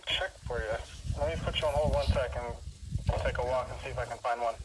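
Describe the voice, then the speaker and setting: A man's voice: medium pitch, quick pace. A man working at a store, taking a customer's phone call in a casual, polite, matter-of-fact way with brief answers. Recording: noisy phone call with clicks.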